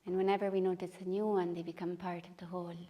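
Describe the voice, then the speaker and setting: Low, even-toned woman guiding meditation. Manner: gentle, unhurried, with long pauses. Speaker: meditation and qigong teacher. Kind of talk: guided meditation. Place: room at a retreat.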